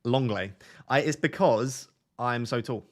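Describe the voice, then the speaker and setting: Young man, monotone, casual live-stream host, self-deprecating. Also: medium pitch, a little slow. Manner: self-deprecating, chatty, reads out chat messages. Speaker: livestream host. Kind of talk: casual live-stream chat, answering viewers.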